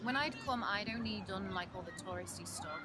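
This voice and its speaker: A woman from Nottingham, answering interview questions in an animated way. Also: mid-range voice, a little fast, over music.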